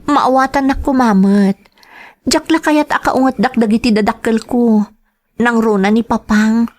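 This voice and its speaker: A woman's voice: on the high side, steady tone, a little slow, a little mellow, lightly acted. An actress with an Ilocano accent playing a dutiful young working woman in a radio drama, earnest and pleading.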